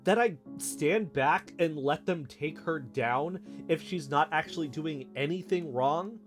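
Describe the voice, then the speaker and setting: A man's voice: a little high, measured pace, over music, lightly acted. A man playing a defensive, argumentative young man accused of betrayal in a naturalistic audio drama. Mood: insistent, protective.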